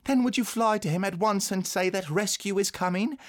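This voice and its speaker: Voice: mid-range voice, a little fast, pitched above the performer's own voice, close to an everyday voice. A reader playing an eager, tender-hearted young boy in a children's storybook, earnest and exclamatory. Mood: urgent.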